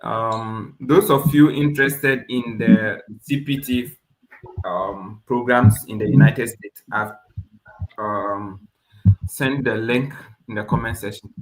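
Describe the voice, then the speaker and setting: A man's voice: fairly low pitch, slow. A man hosting an online webinar, restarting phrases as he puts questions to his guest. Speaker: webinar host, mentor. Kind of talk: informal interviewing and hosting. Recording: echoey room, noisy online call, clicks.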